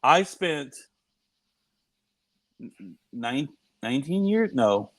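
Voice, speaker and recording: A middle-aged man with a high, nasal voice, co-hosting a casual podcast chat. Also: big pitch swings, extremely slow, a bit bright, no echo. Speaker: podcast co-host.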